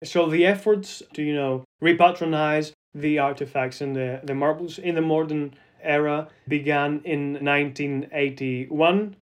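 A man's voice: fairly high pitch, fairly even intonation, unhurried, few pauses. A young man in his twenties from Greece, speaking English with a Greek accent in an earnest, conversational interview. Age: 20s. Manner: earnest, with frequent "you know" fillers.

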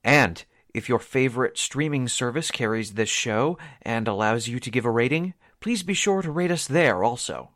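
A male announcer with an animated, swinging intonation and a medium pitch. He reads scripted radio theater credits in formal, theatrical phrasing, recorded very dry and close. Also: moderate pace, hardly any pauses, dry recording.

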